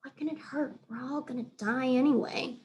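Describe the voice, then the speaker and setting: Storybook female dog, woman reader: tough, steady, blunt but caring. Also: high-pitched, moderate pace, pitched above the performer's own voice. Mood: amused, hushed. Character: Boss, tough, calm, kind female dog. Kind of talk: children's storybook character voice.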